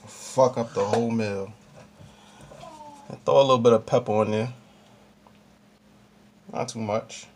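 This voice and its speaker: Offhand young American man, noisy recording. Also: on the low side. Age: young adult. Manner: slangy, swearing, offhand. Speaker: home cooking vlogger. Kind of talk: casual chat while demonstrating cooking. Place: small home kitchen, indoors. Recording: clearly noisy amateur camera recording.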